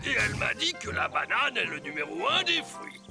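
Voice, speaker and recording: A woman's voice: medium pitch, very animated, moderate pace, vocal fry, music in the background. A woman voicing an earnest, forgetful little boy vehicle in a French children's cartoon dub.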